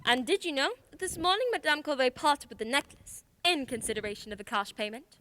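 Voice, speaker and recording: A woman's voice: high-pitched, lively intonation, brisk, pushed and bright. A woman playing a confident, shrewd detective in a radio play, speaking in a formal, precise, theatrical way. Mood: composed, formal. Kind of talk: stage-style radio play acting.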